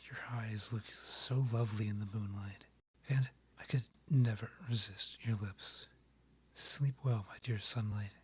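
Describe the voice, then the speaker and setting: A man plays a vampire king in a romantic audio roleplay. His low voice is very breathy, often sinking into a half-whisper. He courts his beloved slowly and formally, yearning and tender. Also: steady tone. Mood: smitten, courting. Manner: formal, courtly phrasing, slow pleading delivery.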